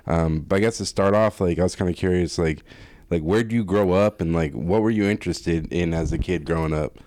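A man with a low voice hosts an interview podcast. His phrasing is informal and a little rambling, and the sound is close and dry. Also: fairly quick, a little mellow, no room sound. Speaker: podcast host.

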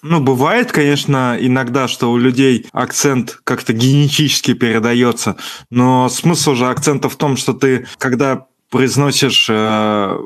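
A man hosting a casual podcast conversation, with a middle-pitched voice. He rambles through his points, full of fillers and half-finished restarts. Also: steady tone, a little slow. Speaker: podcast host. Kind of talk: casual chat.

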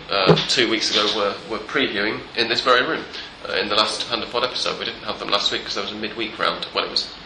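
A congested man hosts a football chat podcast, his fairly low voice bright and forceful with a stuffed-up edge. He rambles easily through fillers and restarts. The living room echoes around him, with faint noise underneath. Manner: relaxed, rambling with many fillers. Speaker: podcast host.

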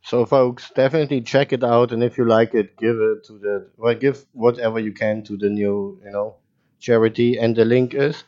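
A man's voice: mid-range voice, fairly even intonation, normal speed, a little mellow, highs cut off. A man co-hosting a podcast, chatting casually with dry teasing humor and frequent laughter. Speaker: podcast co-host.

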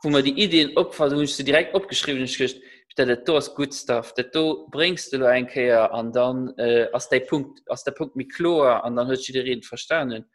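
A man's voice: mid-range voice, brisk. A man in a casual podcast discussion who searches for words and repeats himself.

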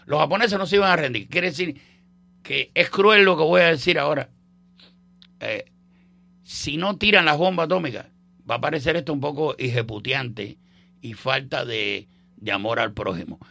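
An older Cuban man with a Cuban accent hosts a radio show. His voice is gravelly with a bright, edgy tone. He rambles through history in a casual, joking way, full of fillers and restarts. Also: on the high side, normal speed, pauses often. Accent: Cuban Spanish. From Cuba. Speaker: radio host. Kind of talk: casual on-air history chat.

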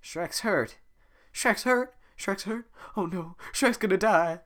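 A podcaster doing an impression of a panicky, overexcited male talking donkey in a home podcast retelling. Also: fairly bright tone. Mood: panicked. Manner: high-strung, rapid, overexcited. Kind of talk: amateur podcast voice acting.

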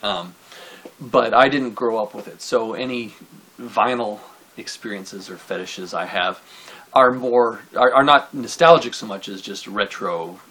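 Slightly husky male musician guest. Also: lowish voice, measured pace, a bit bright, low-quality recording. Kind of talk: casual chat.

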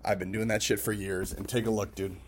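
A young man in his twenties with a lowish voice speaks in a loose, rambling way. He swears freely and addresses viewers as if they were friends. He is from Massachusetts and records at a hotel, with a faint hum of background noise. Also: little pitch movement, brisk. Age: 20s. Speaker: filmmaker and event host vlogging. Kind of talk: casual rambling birthday check-in.

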